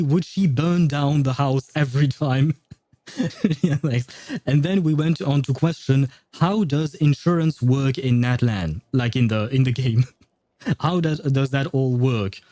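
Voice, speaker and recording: A man's voice: medium pitch, warm tone, no echo. A man hosting a casual gaming livestream, rambling and hesitant in a jokey way as he talks with his chat. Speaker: livestream host.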